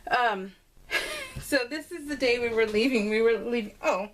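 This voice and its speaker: A woman's voice: a little high, wildly swooping pitch, unhurried, noisy background. A middle-aged woman chatting casually and rambling while she works on a scrapbook layout, laughing often. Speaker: scrapbooking video creator. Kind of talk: casual voice-over while crafting.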